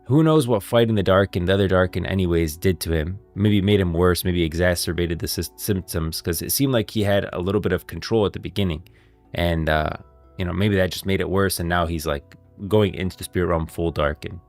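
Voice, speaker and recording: A man's voice: low voice, brisk, darkish tone, faint music behind. A man streaming game lore commentary, moving between scripted narration and off-the-cuff explanation.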